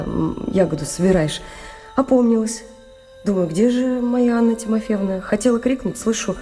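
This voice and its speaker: Casting: actress for frightened wartime woman in radio play, emotional and halting, reverberant space with music underneath. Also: medium pitch, dark tone, natural voice. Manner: halting, emotional, repeats words. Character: distraught wartime civilian woman, emotional, pleading, haunted by fear. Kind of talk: Russian radio play acting. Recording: long reverb, clear music behind.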